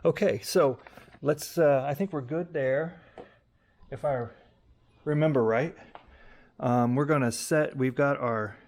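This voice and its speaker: A man's voice: medium pitch, takes it slowly, pauses often, a little mellow. A man hosting a casual hands-on tutorial, explaining informally and thinking aloud, often correcting himself as he goes. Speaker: YouTube host demonstrating vintage audio testing.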